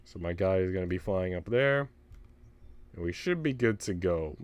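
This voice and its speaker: Clear, low-voiced man livestreaming casually. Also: animated, normal speed. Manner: slangy, swearing. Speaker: live streamer. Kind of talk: casual livestream chat and reading visual novel aloud. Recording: faint music behind the voice.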